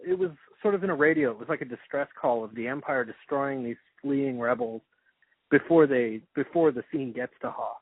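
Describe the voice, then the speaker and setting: A man with a medium-pitched voice conducts a conversational interview. He is tentative and respectful, often halting as he shapes a thought. The recording is dry and thin, like a phone line. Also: very animated, no room sound.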